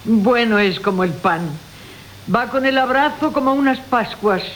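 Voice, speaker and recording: An actress playing a sly, flattering older servant woman in a classical comic radio play, theatrical and wheedling. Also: a little high, measured pace, lightly acted. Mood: sly, knowing. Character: sly older widowed female servant, flattering, mocking schemer. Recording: hissy old radio recording.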